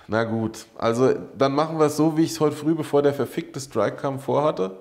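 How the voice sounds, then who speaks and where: A man's voice: fairly high pitch, normal speed, darkish tone. A middle-aged man hosting a casual studio talk show, blunt and emphatic, repeating key points and now and then swearing. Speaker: show host. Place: studio set with brick wall backdrop.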